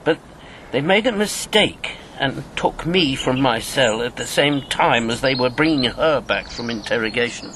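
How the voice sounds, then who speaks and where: A man's voice: medium pitch, expressive, a bit bright, a little hiss or hum, close to an everyday voice. An actor in a radio drama playing an embittered, grieving old man, once a wartime secret agent, speaking with weighty deliberation. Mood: haunted, sombre. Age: 70s. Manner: slow, weighty reminiscing with bitter outbursts.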